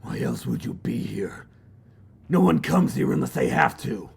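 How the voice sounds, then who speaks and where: A man playing a suspicious warlord creature with a raspy, gravelly voice in an animated fan dub. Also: medium pitch, measured pace, heavily acted.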